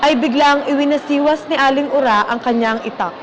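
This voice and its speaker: A woman with a high voice and a Filipino accent narrates a radio drama from a script. Faint noise runs behind her. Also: steady tone, a little fast.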